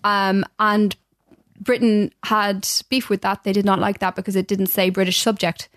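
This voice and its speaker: A woman's voice: medium pitch, flat delivery, normal speed, no room sound. Irish woman, mid-range voice, thoughtful, hesitant explainer, dry sound. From Ireland. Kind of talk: casual chat.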